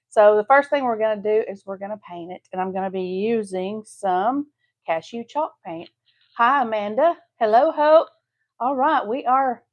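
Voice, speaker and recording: Chatty woman with a Texas accent. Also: on the high side. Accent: Southeast Texas. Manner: chatty, homey, talks to viewers while painting. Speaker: craft channel host. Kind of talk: casual live-stream craft tutorial.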